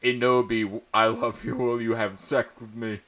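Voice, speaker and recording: A man voicing a villainous, melodramatic henchman in a loud, overblown way, for a comic story reading. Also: mid-range voice, flat delivery, moderate pace, natural voice. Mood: lovestruck.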